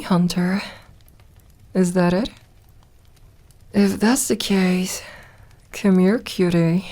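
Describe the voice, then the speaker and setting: A woman's voice: lowish voice, a little mellow. A woman playing an ancient, lonely vampire in an ASMR roleplay, playful and tender, with a teasing drawl that turns hesitant when flustered. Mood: teasing, flirty.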